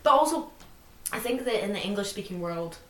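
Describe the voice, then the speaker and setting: A woman's voice: mid-range voice, animated, brisk, recorded from a distance. A young woman co-hosting a podcast in casual chat, rambling and filling her talk with "like". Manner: rambling, fills speech with "like". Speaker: podcast co-host. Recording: roomy, slightly off-mic.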